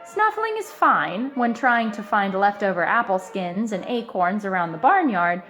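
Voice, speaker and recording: A woman reading a children's picture book aloud in an expressive, emphatic way, stretching out key words. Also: mid-range voice, unhurried, soft background music. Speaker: storybook reader.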